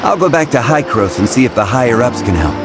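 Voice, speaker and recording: A man's voice: medium pitch, big pitch swings, fast talker. An actor playing a pragmatic, wry male field operative in a video game, speaking in a dry, matter-of-fact way. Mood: dry, businesslike. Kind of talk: video game dialogue acting. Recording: loud music behind the voice. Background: loud game music.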